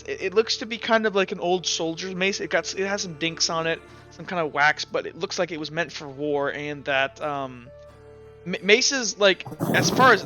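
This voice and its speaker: A man's voice: high voice, highs cut off. A man running a tabletop game as game master, narrating off the cuff and often repeating words as he searches for the next. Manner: halting, repeats words mid-sentence.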